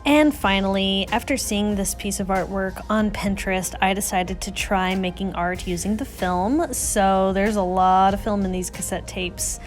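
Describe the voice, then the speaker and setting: A woman's voice: medium pitch, steady tone, normal speed, few pauses. A woman giving a casual, chatty and self-deprecating voice-over for a craft tutorial. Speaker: craft vlogger, host. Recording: music under the voice. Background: music.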